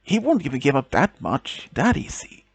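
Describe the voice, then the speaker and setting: A man's voice: medium pitch, big pitch swings, moderate pace, husky, no room sound. A man doing an insistent young friend.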